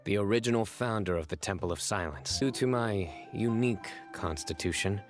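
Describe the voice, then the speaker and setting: An actor playing a stern, reserved young male guardian in an anime video game, speaking formally and deadpan with music behind. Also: lowish voice, brisk, natural voice. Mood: grave, reflective. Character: stern, reserved young man, desert-born guardian with spirit power.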